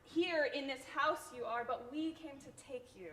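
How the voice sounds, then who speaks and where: Woman playing a soft-soap social worker in a play, high voice, reassuring, talks like to a kid, echoey. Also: moderate pace. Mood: soothing. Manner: reassuring, simple phrasing as if to a child. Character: gentle, placating adult female government social worker. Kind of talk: staged reading of a one-act play. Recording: roomy echo with clear background noise.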